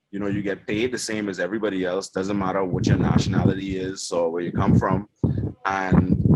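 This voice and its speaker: A young man from the Bahamas whose first language is German, a chief engineer on a yacht, answering interview questions in a relaxed, rambling way full of ums and you-knows. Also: fairly low pitch, background noise.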